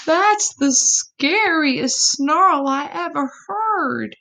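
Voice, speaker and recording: A woman's voice: high voice, animated, very slow, creaky, pushed and bright, pitched above the performer's own voice, heavily acted, low-quality recording. A woman voicing a timid but boastful little possum in a children's storybook, exclaiming dramatically. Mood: frightened gasping.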